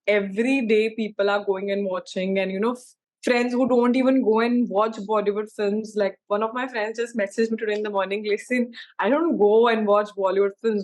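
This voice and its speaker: A young woman with an Indian accent, an actress speaking casually and emphatically in an interview, often using "like" and "you know". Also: mid-range voice. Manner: informal, emphatic, peppered with 'like' and 'you know'.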